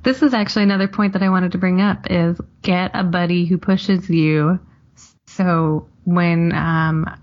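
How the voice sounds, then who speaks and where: Relaxed woman hosting a podcast. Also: on the low side, highs cut off. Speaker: podcast host. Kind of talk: casual chat.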